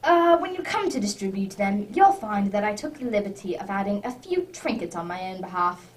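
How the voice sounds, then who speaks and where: A woman playing a wry, urbane English gentleman detective in a radio drama, teasing and precise in old-fashioned phrasing. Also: mid-range voice, lively intonation, brisk, natural voice. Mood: unruffled, wry. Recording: distant microphone.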